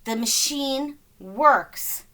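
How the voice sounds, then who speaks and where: A woman reading a children's story aloud in an expressive, dramatic way, with deliberate phrasing and stressed words. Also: high-pitched. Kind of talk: expressive scripted read-aloud narration.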